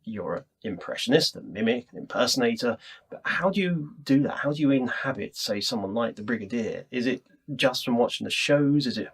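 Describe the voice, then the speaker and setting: A man's voice: medium pitch, animated, brisk, slightly rough. A man presenting a podcast interview, chatty and explanatory as he puts his questions in a conversational style. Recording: ambient room mic.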